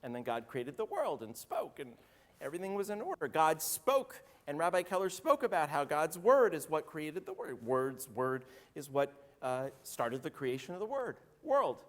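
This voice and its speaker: Hoarse rabbi in a reverberant sanctuary. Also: male voice, a little high, big pitch swings, normal speed. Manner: chatty, joking, calls on congregants by name. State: slightly hoarse voice. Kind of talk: interactive sermon with the congregation. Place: synagogue sanctuary. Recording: roomy hall reverb.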